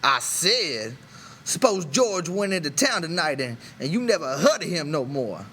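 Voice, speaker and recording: A man's voice: a little high, sing-song, unhurried, sharp tone, slight background noise, voice pushed up, full character voice. A man voicing a proud, bitter, lonely stable hand in an audiobook, talking in an insistent, rambling colloquial way. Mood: goading. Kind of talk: character voice in an audiobook reading.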